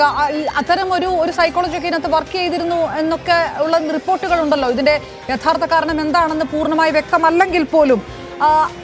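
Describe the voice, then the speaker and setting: Very high-pitched female news anchor. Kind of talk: live questioning of a reporter.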